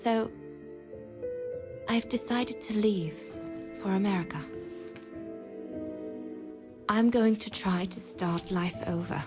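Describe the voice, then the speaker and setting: An actress playing a grieving woman who reads out her letters in a hushed, sorrowful way for a story game. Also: medium pitch, takes it slowly, long pauses, over music, natural voice. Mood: sad, resigned. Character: grieving woman writing letters to a dear friend.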